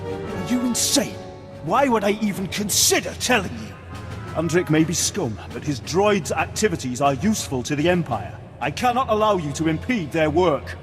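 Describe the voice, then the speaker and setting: A man's voice: high voice, lively intonation, normal speed. An actor playing a haughty, hot-tempered male Imperial officer in a video game, pompous and imperious. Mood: indignant. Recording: reverberant hall with loud game music.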